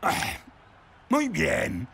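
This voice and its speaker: Jaded cartoon gatekeeper creature: very creaky, sharp-edged, unimpressed. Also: male voice, fairly high pitch, huge pitch swings, extremely slow, exaggerated voice. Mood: bored. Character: bored, deadpan cartoon axolotl security guard.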